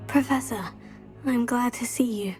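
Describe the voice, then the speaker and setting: High-voiced young witch student, acted by a woman. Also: measured pace, a little mellow, close to an everyday voice. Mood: earnest. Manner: polite, composed, inquisitive. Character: polite, brave, eager teenage witch student. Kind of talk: video game cutscene acting. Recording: background music under the voice.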